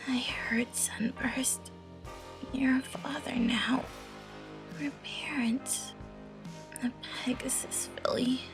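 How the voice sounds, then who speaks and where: A woman's voice: high voice, fairly even intonation, music in the background. Woman acting a faint, whispering dying mare. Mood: faint, tender. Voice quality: breathy half-whisper. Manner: slow, faint, halting. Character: weak, dying young mare, gentle new mother.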